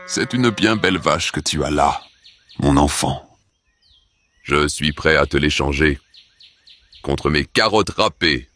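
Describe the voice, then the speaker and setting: A strange old peddler from a children's cartoon storybook. His voice sits extremely low and moves slowly. He is sly and wheedling, savouring his words as he pushes his wares. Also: expressive, bright, forceful tone, exaggerated voice. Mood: sly, friendly. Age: older.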